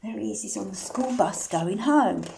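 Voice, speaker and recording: A woman reading a picture book aloud to young children, animated and sing-song, putting questions to the listeners and singing the refrains. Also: medium pitch, normal speed, gravelly, slight background noise.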